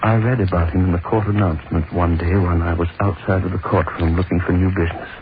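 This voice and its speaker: A man's voice: deep voice, steady tone, quick pace, faint music behind, lightly acted. An actor playing an obsessive, cruel hangman who gloats and broods over his work, in a 1930s radio drama monologue. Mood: anxious. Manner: gloating, brooding, lingers on words. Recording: scratchy tinny 1930s radio broadcast.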